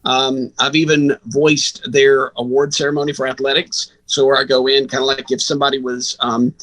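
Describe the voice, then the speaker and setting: A man with a bright, forceful voice speaks at a middle pitch. He sounds like a sports broadcaster being interviewed, explaining things in a conversational way. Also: level tone, moderate pace, rough recording. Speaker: guest, radio sports broadcaster.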